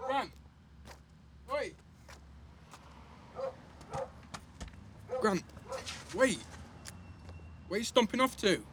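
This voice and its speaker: A man's voice: very high-pitched, lively intonation, slow pace, a bit bright, heavily acted. Teasing, chatty teenage boy, over traffic noise. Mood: calling out. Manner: casual, slangy, teasing. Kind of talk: naturalistic audio drama acting.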